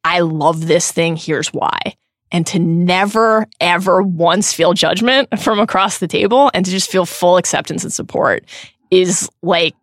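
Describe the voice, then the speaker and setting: A woman in her thirties co-hosting a podcast, speaking earnestly and with emphatic, drawn-out stress. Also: fairly low pitch, no echo, clean studio sound. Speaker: podcast co-host. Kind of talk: heartfelt podcast chat.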